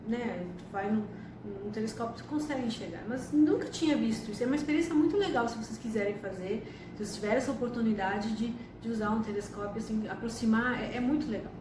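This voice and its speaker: A woman's voice: a little high, fast talker. A woman, telling her own life story to camera in a chatty way, with frequent tag questions. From Argentina. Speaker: astrologer and psychotherapist. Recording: echoey room from a distance with background noise.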